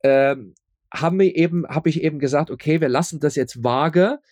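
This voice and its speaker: A man's voice: fairly high pitch, moderate pace, darkish tone, dry recording. A man chatting as an interview guest, explaining emphatically and often repeating himself. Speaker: audio drama maker, interview guest. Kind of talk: casual interview chat.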